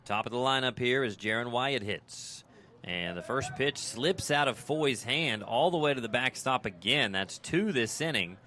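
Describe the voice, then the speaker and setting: An American man doing live radio play-by-play of a baseball game, in clipped descriptive phrases that turn conversational between pitches. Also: expressive, moderate pace, sharp tone. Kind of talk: live sports commentary.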